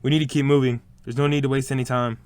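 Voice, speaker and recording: A man's voice: medium pitch, level tone, fast talker, darkish tone, clean tone, no room sound, lightly acted. A man playing an impatient, sarcastic young shooter in an audio drama, speaking casually.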